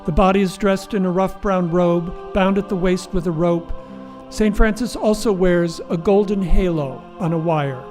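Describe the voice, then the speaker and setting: High-voiced male narrator of an audio play. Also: normal speed, a little mellow, faint music behind. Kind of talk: scripted stage-direction narration.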